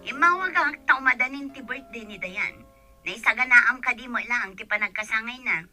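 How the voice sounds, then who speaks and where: Sharp, high-voiced stern mother. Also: moderate pace, soft background music. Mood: firm. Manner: firm, curt, irritated. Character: stern, thrifty mother working abroad, unyielding. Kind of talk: radio drama acting.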